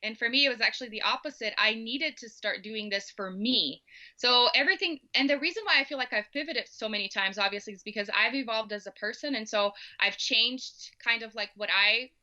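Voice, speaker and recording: A woman in her thirties with a Brazilian Portuguese accent, a guest on a podcast. Her voice is very bright and sharp but sounds dull and lo-fi over a remote line. She talks in an eager, rambling way. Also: a little high, a little fast. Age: 30s. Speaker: guest, mom coach. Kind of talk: casual interview talk.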